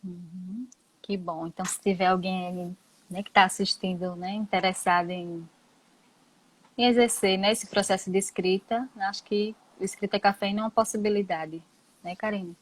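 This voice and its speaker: A woman with a Brazilian Portuguese accent interviewing casually, phrasing her questions informally and haltingly. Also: mid-range voice, steady tone, rough recording. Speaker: interviewer from a book club. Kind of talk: casual live-stream interviewing.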